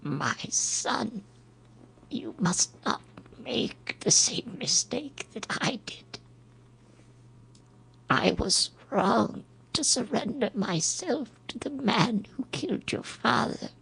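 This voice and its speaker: A woman playing a bitter, dying old mother in a Norse radio drama, with a breathy, raspy, strained voice. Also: a little high, expressive, a bit bright, full character voice. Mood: regretful, pleading. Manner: slow, strained, gasping delivery.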